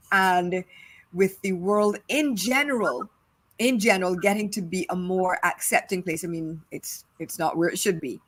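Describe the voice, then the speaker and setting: Middle-aged Barbadian woman, podcast co-host, emphatic and repetitive, mid-pitched voice. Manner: emphatic, repeats phrases for stress. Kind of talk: casual discussion.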